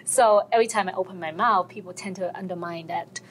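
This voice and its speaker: A woman's voice: lowish voice, brisk, slight background noise, rough recording. A woman with a Chinese accent sharing her experience in a group discussion, hesitant with many fillers. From China. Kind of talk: personal testimony.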